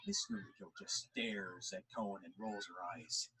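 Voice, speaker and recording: A man's voice: fairly low pitch, big pitch swings, normal speed, bright, forceful tone, slightly rough. A man reading scripted story narration for an audio drama. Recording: faint background noise.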